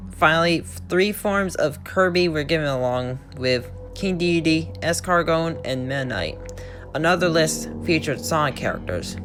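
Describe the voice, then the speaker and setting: A man's voice: a little high, a bit bright. A young man reading a creepypasta aloud in an amateur way, stumbling and correcting himself, then chatting casually about it. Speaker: creepypasta reader. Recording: music playing behind the voice.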